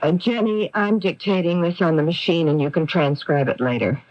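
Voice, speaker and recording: A sharp-tongued, self-justifying middle-aged career woman voiced by an actress in a radio mystery. She speaks with theatrical emphasis and a worldly, evasive air, on a dry, slightly dull broadcast recording. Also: on the low side, moderate pace, no room sound, lightly acted. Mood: solemn. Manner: theatrical, emphatic, repeats words. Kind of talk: 1980s radio mystery acting.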